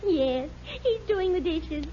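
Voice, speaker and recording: A woman's voice: very high voice, lively intonation, slow pace, muffled recording, heavily acted. A woman playing a cheerful, teasing wife and mother in a 1950s radio comedy, giggling as she speaks. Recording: hissy old radio broadcast with studio audience.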